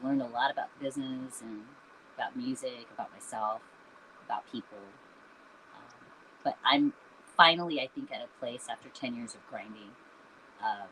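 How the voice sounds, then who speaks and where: Bright-voiced earnest woman in her fifties. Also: very deep voice, a little hiss or hum, low-quality recording. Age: 50s. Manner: earnest and reflective, with frequent "you know" and "um". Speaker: singer and band founder, interview guest. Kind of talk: candid conversational interview answers.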